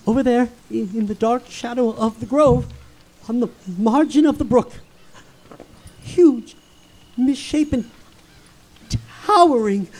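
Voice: high voice, expressive, a little slow, a bit bright. An actor playing a timid, pompous, superstitious schoolmaster in a theatrical audio drama, florid and melodramatic, given to stammering. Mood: terrified. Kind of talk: theatrical audio drama of a classic ghost story.

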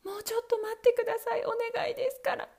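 A woman voicing a frightened young wife who cries out anxiously in a high voice. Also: little pitch movement, fast, vocal fry, darkish tone. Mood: pleading. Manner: high-pitched, anxious crying out.

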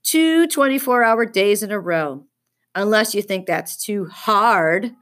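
A woman's voice: mid-range voice, lively intonation, slow, fairly bright tone. A woman reading a children's story, voicing a stubborn, indignant fifth-grade girl who is snippy and haughty. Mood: challenging.

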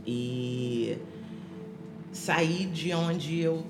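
A woman's voice: low voice, very slow. A woman from Rio de Janeiro, speaking, giving her personal testimony as a podcast guest with many fillers and restarts. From Rio de Janeiro, Brazil. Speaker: interview guest. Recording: echoey room with music behind.

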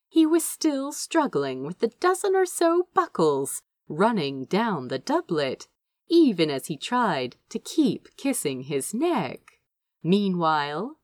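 A woman narrates fiction in a lowish voice that swings widely in pitch. She takes her time, drawing out phrases with expressive emphasis, and the sound is dry and close. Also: no room sound. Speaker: amateur audiobook reader of fan fiction. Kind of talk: scripted narration, dramatic storytelling read aloud.

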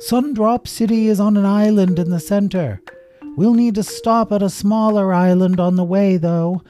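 Storyteller playing a male bird of prey, raised pitch, dignified, weary, grumbly, formal, storybook. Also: normal speed, dark tone, faint music behind, close to an everyday voice. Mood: loud, informative.

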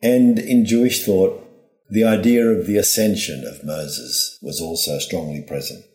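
A low-voiced man gives a reflective scripted homily. He speaks very slowly and formally, setting down each phrase with care, in a roomy, echoing space. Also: a little mellow. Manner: deliberate, phrase-by-phrase delivery, formal. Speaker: priest giving a reflection.

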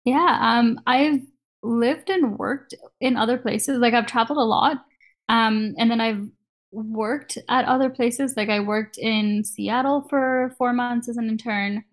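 A woman's voice: on the high side, fairly even intonation, a little slow. A woman in her thirties from Kenya, chatting casually as an interview guest and laughing easily.

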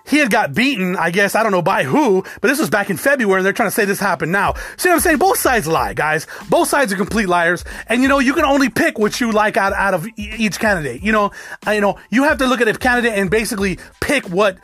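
A man rants to his viewers at a quick clip, his voice pitched fairly high for a man. He swears freely, restarts his sentences and circles back on the same points. The sound is close and dry, with no room around it. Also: lively intonation, a bit bright, no echo, faint music behind. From United States. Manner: rambling, profane, repeats himself. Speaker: vlogger. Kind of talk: casual rant to camera.

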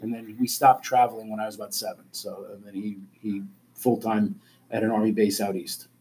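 A middle-aged man, a high school principal, talking about himself in a casual interview, earnest and often restarting his sentences. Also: level tone, brisk, a little mellow, slight background noise, rough recording. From born in Alabama, raised in Germany.

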